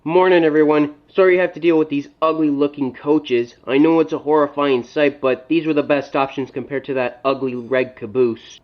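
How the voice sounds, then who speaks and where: A man's voice: a little high, fairly even intonation, normal speed, few pauses, highs cut off, natural voice. A man voicing a rude, boastful, grumpy tank engine in a cartoon, insulting and sneering as he talks.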